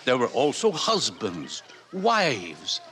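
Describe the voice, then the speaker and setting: An actor playing an urbane, lofty world controller in a 1950s radio drama, lecturing in a measured, theatrical way. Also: male voice, mid-range voice, huge pitch swings, pushed and bright, heavily acted. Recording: noisy old radio recording.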